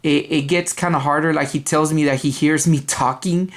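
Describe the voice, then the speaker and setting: A young man with a loud voice, rambling casually in a video game haul vlog, full of ums and restarts. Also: on the high side, fairly even intonation, normal speed. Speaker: video game collector vlogger. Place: apartment dining room at night.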